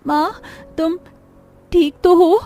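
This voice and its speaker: A woman's voice: extremely high pitch, slow, dark tone, faint music behind, voice pushed up, heavily acted. A woman voicing an anxious young daughter of a poor family in a cartoon, plaintive and childlike. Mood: worried.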